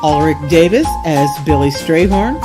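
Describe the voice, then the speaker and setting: Lowish-voiced woman narrator over music. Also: lively intonation, moderate pace. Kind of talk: scripted audio drama narration and credits.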